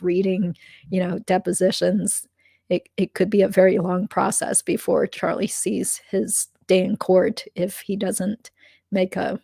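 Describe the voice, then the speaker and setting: A woman hosting a legal commentary livestream, chatting casually with fillers and asides. Her voice is slightly croaky. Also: medium pitch, warm tone, no echo, studio quality.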